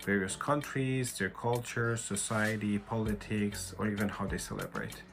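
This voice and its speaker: A man from Iran, speaking slowly and sincerely about his student experience. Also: lowish voice, steady tone, gravelly, over music. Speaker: former international student.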